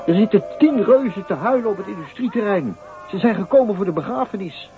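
An actor playing an anxious, pleading chauffeur in a radio play, urgent and incredulous. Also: male voice, sits high, lively intonation, a little fast, darkish tone, lo-fi sound, faint music behind. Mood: agitated, urgent. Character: agitated, pleading male chauffeur, anxious messenger. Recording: thin, crackly old radio recording.

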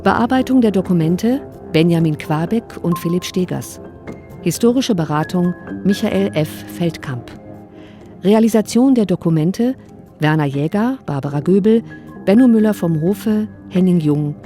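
A woman's voice: fairly low pitch, animated, a little slow. A woman reading scripted broadcast announcements in a matter-of-fact manner. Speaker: credits announcer. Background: faint music.

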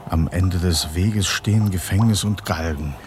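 Deep, steady revolutionary leader. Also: male voice, slight background noise. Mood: grim. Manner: terse, measured, matter-of-fact. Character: steady, grim, consoling Russian revolutionary leader. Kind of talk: German radio drama acting. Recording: studio radio play with music behind.

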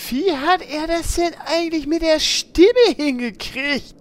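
A woman playing a high, halting timid adventurer. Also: slight background noise. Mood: unsure. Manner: hesitant, stammering. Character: hesitant, timid, slow-thinking adventurer. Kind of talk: comedic fantasy game audio drama acting.

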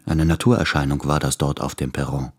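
A man's voice: extremely low pitch, flat delivery, dry recording, clean studio sound. An actor playing a weary, anxious man of thirty-eight in a brooding, intimate radio drama monologue. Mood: troubled wonder. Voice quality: gravelly, husky. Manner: brooding, hushed, deliberate.

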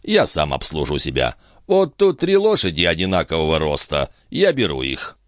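A man's voice: a bass voice, wildly swooping pitch, normal speed, fairly bright tone, no room sound, studio quality, full character voice. A man voicing an overbearing, cool-headed viscount in a storybook reading, with a barking, insolent manner. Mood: cool, defiant. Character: brash, cool-headed, overbearing male viscount, quick to threaten.

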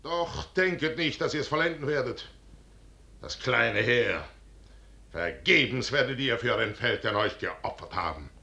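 A man's voice: medium pitch, expressive, measured pace, bright, forceful tone. A man acting a proud, betrayed older general in declamatory classical verse for a 1950s radio drama. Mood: grave, resolute. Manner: declamatory, theatrical. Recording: echoey, noisy 1950s radio recording.

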